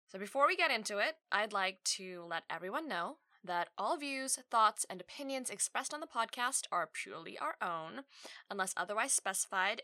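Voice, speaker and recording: A woman's voice: mid-range voice, sharp tone. A woman in her thirties from Australia, hosting her own podcast in a chatty, candid monologue with frequent laughs and asides. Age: 30s. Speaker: podcast host. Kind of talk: casual solo podcast monologue.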